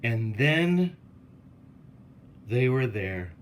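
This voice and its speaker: A man's voice: mid-range voice, very animated, drawn-out words, slight background noise. A male teacher reads a children's picture book aloud. His voice sits in a middle register, and he takes his time, speaking simply to young listeners. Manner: addresses children with simple questions.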